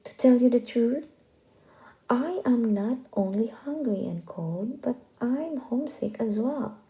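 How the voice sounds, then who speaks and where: A woman's voice: fairly high pitch, expressive, takes it slowly, dark tone, pitched above the performer's own voice, rough recording. A woman voicing a friendly, adventurous rooster in a storybook, eager and inviting in manner. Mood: homesick. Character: friendly adventurous rooster, sociable leader of travelers. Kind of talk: storybook character voice.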